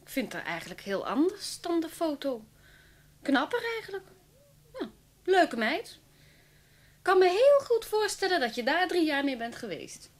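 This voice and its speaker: Breezy, blunt woman with a higher voice. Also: sing-song, measured pace, long pauses. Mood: bright, reassuring. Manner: chatty, casual, matter-of-fact. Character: blunt, breezy woman, mistress of an older man. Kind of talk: naturalistic Dutch radio drama.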